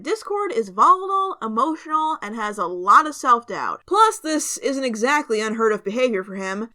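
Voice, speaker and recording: A woman hosting a scripted review, expressive and emphatic, with playful asides. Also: high-pitched. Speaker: reviewer and host.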